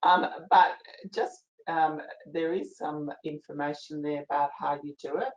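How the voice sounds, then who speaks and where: A soft-spoken woman presents a legal information webinar, her voice low and quiet. She talks in a chatty, informal way with plenty of fillers. The sound comes through an echoey video call. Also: fairly even intonation, measured pace, highs cut off. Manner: chatty, with fillers and a ready laugh.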